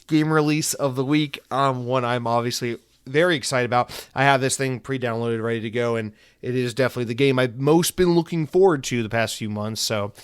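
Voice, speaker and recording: Casual male podcast host in his thirties, middle pitch, tired with a head cold, rambling and profane, dry close mic. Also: a little fast, few pauses, clear, resonant tone, no room sound. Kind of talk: casual solo podcast chat.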